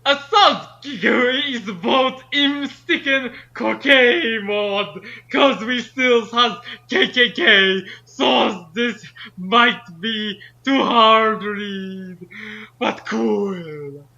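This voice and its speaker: A man with a high, very sharp voice co-hosts a casual reading at a slow pace. He banters and comments, and the recording is a little lo-fi. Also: gravelly. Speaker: co-host of a group fan-fiction reading. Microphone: home mic, drifting away from it. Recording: dull lo-fi microphone sound.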